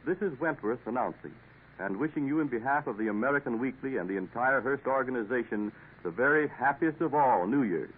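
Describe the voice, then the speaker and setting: A man delivers a formal sponsor announcement at a brisk clip in a polished announcer voice. He sits at a middle pitch. The sound is thin and lo-fi, like an old broadcast. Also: expressive, a little hiss or hum. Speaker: radio announcer. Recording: narrow lo-fi 1950s radio broadcast.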